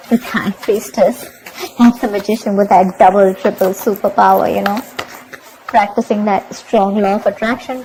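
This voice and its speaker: A woman's voice: medium pitch, a little mellow, slight background noise, poor audio. A woman giving a tarot reading to her viewers, emphatic and repetitive, often checking in with "okay?". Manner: emphatic, repeats herself, keeps asking "okay?". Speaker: tarot reader.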